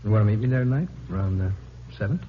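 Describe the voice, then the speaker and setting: A man's voice: lowish voice, lively intonation, rapid-fire, lightly acted. An actor playing a sleazy, pushy man peddling dirty books in an old radio drama, wheedling and salesy. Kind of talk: 1950s radio drama acting. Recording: dull old radio recording with hiss.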